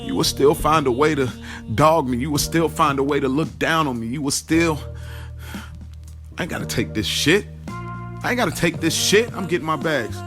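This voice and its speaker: Male actor as wounded young lover, angry and foul-mouthed, emphatic audio-drama monologue, clear music underneath. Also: on the high side, normal speed, natural voice. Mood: furious, defiant. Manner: emphatic, profane, repeats phrases. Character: wounded, angry young man recounting a bitter breakup. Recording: loud music behind the voice.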